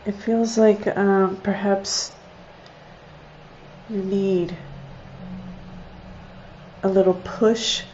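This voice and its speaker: A woman's voice: medium pitch, fairly even intonation, drawn-out words, long pauses, a little mellow. A congested woman with a nasal voice, casually reading oracle cards on a livestream, chatty, thinking aloud and laughing often. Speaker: oracle card reader. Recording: slightly dull lo-fi sound with faint noise.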